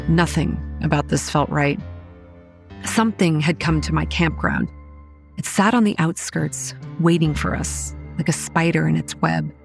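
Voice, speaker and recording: A woman's voice: low-pitched, steady tone, unhurried, gravelly, faint music behind. A young woman acting a wry, weary campground manager narrating a horror audio drama in a dry, exasperated way. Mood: uneasy, brooding. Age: young adult. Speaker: narrator and lead character.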